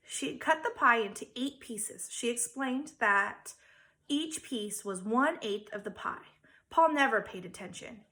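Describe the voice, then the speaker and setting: A woman, a teacher, reading a children's story aloud with dramatic emphasis and theatrical pauses. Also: fairly high pitch, pushed and bright. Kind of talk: expressive children's storybook narration.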